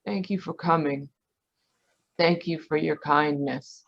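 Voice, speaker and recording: A woman playing a weary, slow, low-voiced noir dame. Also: steady tone, vocal fry, heavily acted. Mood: resigned. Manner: listless, guarded. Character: weary, sickly, resigned woman in radio noir. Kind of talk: 1940s radio drama acting. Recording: muffled video-call audio.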